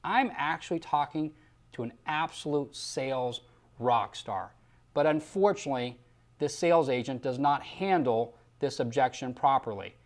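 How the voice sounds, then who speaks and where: A man's voice: mid-range voice, lively intonation, moderate pace. A man working as a sales trainer, giving instructional commentary in an emphatic, rhetorical coaching style and acting out example lines. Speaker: Medicare sales trainer and host.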